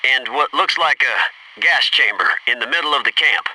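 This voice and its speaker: An actor playing a gung-ho, wisecracking Navy SEAL soldier in a military video game, giving clipped tactical callouts. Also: male voice, mid-range voice, moderate pace, bright, forceful tone. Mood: brisk, businesslike. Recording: noisy radio-style game audio, slightly distant.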